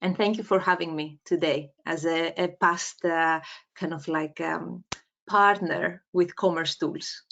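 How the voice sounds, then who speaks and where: A woman with a Greek accent introducing herself on a webinar, speaking slowly with many hesitations. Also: fairly low pitch, fairly even intonation, gravelly, a bit bright. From Greece. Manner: slow, measured, many hesitations. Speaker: webinar panelist. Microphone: video call. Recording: muffled video call audio.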